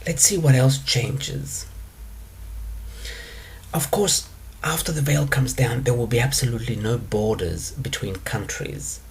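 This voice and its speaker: A man talking alone as a spiritual teacher, explaining his ideas in a calm, unhurried way with drawn-out pauses. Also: mid-range voice, slight background noise. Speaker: spiritual teacher answering questions. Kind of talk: monologue lecture.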